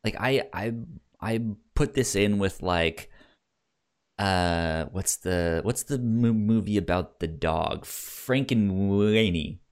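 A man co-hosting a casual film discussion, talking haltingly and often repeating words as he gets his thoughts out. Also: deep voice, clean tone. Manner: halting, repeats words and stammers over starts. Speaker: podcast co-host.

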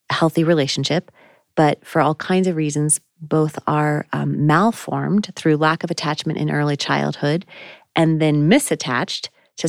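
A woman's voice: low voice, vocal fry, no room sound, clean studio sound. A woman co-hosting a faith podcast, talking in a thoughtful, searching way with frequent ums. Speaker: podcast co-host. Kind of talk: reflective faith conversation.

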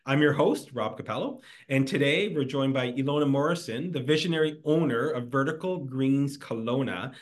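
A man's voice: medium pitch, moderate pace, hardly any pauses. Male host, casual and eager, filler-heavy questions, mid-range voice. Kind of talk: casual business interview. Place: business with indoor garden.

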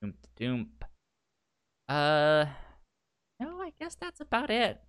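A man hosting a casual book discussion show, talking informally and haltingly with a stutter that repeats sounds and syllables. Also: on the high side, wildly swooping pitch, a bit bright, smooth. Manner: halting, informal, thinking aloud. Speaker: podcast host.

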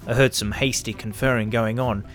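A male narrator reads scripted pulp science fiction in the first person. His pitch is average for a man. Quiet music sits behind the story. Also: fairly even intonation, normal speed. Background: faint music.

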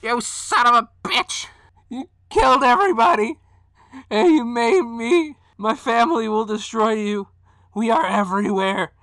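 A man's voice: very high voice, expressive, unhurried, sharp tone, dry recording, pitched above the performer's own voice, full character voice. A man voicing a furious, vengeful talking trowel in a tabletop game, ranting theatrically. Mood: enraged.

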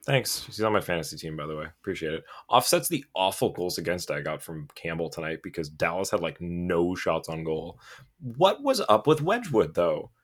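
A man's voice: sits low, very animated, normal speed. A man hosting a casual hockey podcast, joking around, swearing casually and hyping up his guests. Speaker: podcast host. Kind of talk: casual sports podcast chat.

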